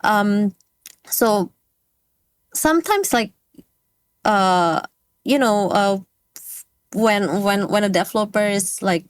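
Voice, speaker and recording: A woman from Indonesia with an Indonesian accent, an interview guest speaking English as a second language, pausing often with fillers as she searches for words. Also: mid-range voice, clear, resonant tone, no echo. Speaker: guest, game industry speaker. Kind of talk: casual interview talk.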